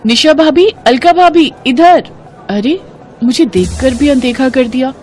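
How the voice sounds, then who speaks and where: A woman's voice: on the high side, expressive, normal speed, darkish tone, faint music behind, close to an everyday voice. A woman playing a hurt, puzzled young daughter-in-law in a cartoon family drama, earnest and questioning.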